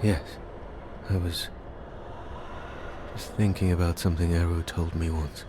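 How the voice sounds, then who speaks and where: A man's voice: low voice, flat delivery, a little slow, background noise. A man playing a guarded wandering hero in a fantasy game cutscene, terse, subdued and solemn. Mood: reflective. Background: music.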